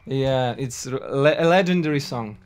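Russian-accented man, halting English. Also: mid-range voice, slight background noise. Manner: halting English, friendly questions. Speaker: musician and channel host. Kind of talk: casual video chat with strangers.

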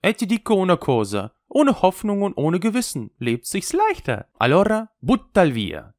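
A man's voice: high voice, wildly swooping pitch, moderate pace, a little mellow, no room sound, studio quality, pitched above the performer's own voice. A man voicing a cynical, worldly old traveling conjurer who speaks with an Italian accent and slips Italian words into his speech. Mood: dismissive. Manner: sly, mocking, sprinkles Italian words.

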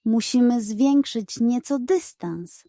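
A woman's voice: a little high, animated, slow pace, warm tone, no echo, studio quality. A woman voicing a cautious male wolf warrior in a children's story, tense and careful. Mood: tense relief.

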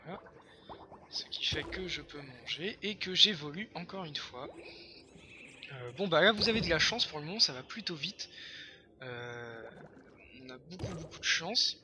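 A hoarse young man chatting casually over his own gameplay, excitable and full of fillers. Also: a little high, moderate pace, long pauses, background noise. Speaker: gameplay commentator. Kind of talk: casual let's-play commentary.

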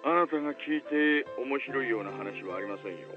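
An actor playing a courteous, evasive older police detective in a visual novel, through a telephone filter. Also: male voice, medium pitch, animated, very fast. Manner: polite, probing, evasive. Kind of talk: Japanese visual novel voice acting. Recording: phone-like narrow band, music behind.

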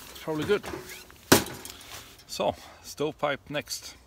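A middle-aged man casually demonstrating outdoor gear in a halting, unscripted way, recorded on a camera in a tent and snowy woods. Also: fairly high pitch. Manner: hesitant, halting step-by-step explaining. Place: snowy forest and inside a tent. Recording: clearly noisy camera audio with clicks.

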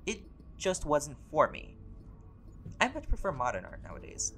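A man playing a laid-back, centuries-old vampire scholar in an audio roleplay, talking in a relaxed, conversational and wryly playful way. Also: fairly high pitch, moderate pace, a little hiss or hum, full character voice. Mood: musing, wry. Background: music.

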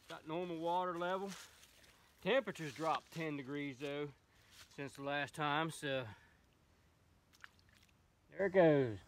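A man's voice: on the high side, a little hiss or hum. A middle-aged man from West Virginia, hosting a fishing vlog in easygoing running commentary by a creek, filmed on a phone. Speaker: fishing channel host. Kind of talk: casual outdoor fishing vlog. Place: outdoors by a small wooded creek. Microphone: phone camera, sometimes set far off.

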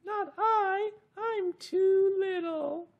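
A man voicing a small, whiny, squeaky rat that makes excuses, drawing the words out for children. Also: muffled recording, exaggerated voice. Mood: whiny refusing. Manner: drawn-out, deliberate delivery for children.

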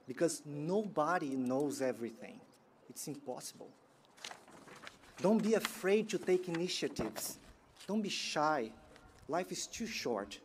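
A man, giving a prepared graduation keynote in a measured, earnest way from a podium outdoors. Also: a little high, slight background noise. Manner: measured, earnest delivery with pauses. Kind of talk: prepared commencement speech. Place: outdoor sports field ceremony. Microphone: podium microphone.

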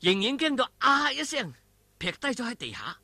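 Animated, bright-voiced Cantonese storytelling man. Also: very high voice, normal speed, vocal fry, no room sound. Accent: Hong Kong Cantonese. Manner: theatrical, colloquial, emphatic. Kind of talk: dramatic wuxia audiobook narration.

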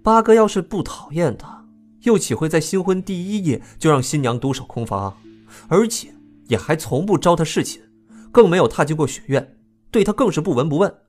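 An actor playing a frank, excitable young prince, the outspoken younger brother, in an audio drama. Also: male voice, on the high side, a little fast, faint music behind. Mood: indignant, insistent.

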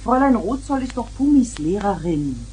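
An actress playing a mother and wife who gently corrects her family in a 1970s children's radio play. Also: mid-range voice, big pitch swings, normal speed, slight background noise, lightly acted, poor audio. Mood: mildly reproving.

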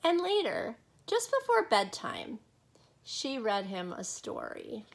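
Slow, higher-voiced woman reading a storybook. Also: vocal fry, slight background noise. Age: middle-aged. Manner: expressive, dramatic pauses and drawn-out words. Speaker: storyteller reading a children's picture book to viewers. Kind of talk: read-aloud storytime with casual asides to young listeners.

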